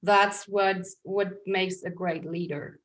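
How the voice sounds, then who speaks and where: A woman's voice: on the low side, steady tone, slow pace, a bit bright, slight background noise. A woman hosting a podcast interview, affirming her guest and asking reflective questions. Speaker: podcast host, mindset coach. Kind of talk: conversational interview.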